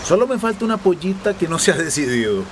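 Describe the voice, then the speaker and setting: A man's voice: sits high, big pitch swings, quick pace, background noise. A man playing a shady, bossy and evasive people smuggler in a radio soap. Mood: businesslike.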